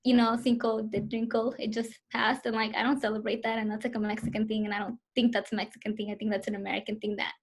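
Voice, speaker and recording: A woman's voice: fairly high pitch, flat delivery, quick pace, hardly any pauses, breathy edge. A woman in her twenties answering interview questions over a video call, hesitant and full of fillers. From Southern California, US. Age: 20s.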